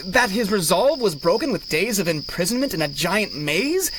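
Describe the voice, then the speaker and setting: High-voiced, indignant rookie rocket hero. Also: male voice, very animated, normal speed, a bit bright, slight background noise, low-quality recording. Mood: skeptical, exasperated. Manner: earnest, pompous, exasperated. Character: brash, indignant young male rocket-pack hero, green and stuffy. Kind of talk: 1930s-style radio adventure acting.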